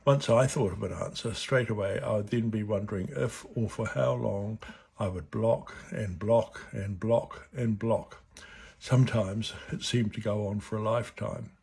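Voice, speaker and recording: A man in his seventies from New Zealand with a New Zealand accent who stutters at times, gently reading a personal reflection. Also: fairly low pitch, fairly even intonation, moderate pace, vocal fry, husky. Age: 70s. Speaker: board chair of a stuttering charity. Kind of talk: personal reflection read aloud.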